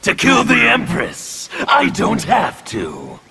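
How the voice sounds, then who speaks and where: Gravelly, monstrous anarchist villain. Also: male voice, high voice, wildly swooping pitch, slow pace, heavy background noise, heavily acted. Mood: defiant menace. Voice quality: gravelly, distorted monstrous rasp. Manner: intimidating, declamatory. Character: menacing undead anarchist revolutionary warrior, grimly fanatical. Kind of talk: video game villain dialogue.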